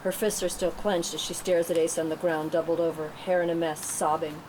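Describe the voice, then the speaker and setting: Low-voiced woman narrating, noisy room. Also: little pitch movement, brisk. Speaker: narrator of screenplay directions. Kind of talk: scripted narration at a table read.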